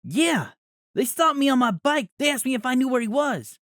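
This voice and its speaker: Man doing an excitable, very high-voiced teenage boy. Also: moderate pace, no echo, clean studio sound. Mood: excited, urgent. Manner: urgent, eager, exclaiming. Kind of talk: audiobook character voice.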